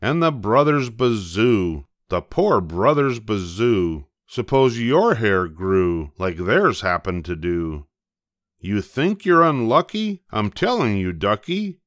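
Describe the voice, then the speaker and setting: Older man, children's storybook reading, slow pace, swooping intonation, emphatic delivery, close dry recording. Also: on the low side, a bit bright, no echo. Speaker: storybook reader.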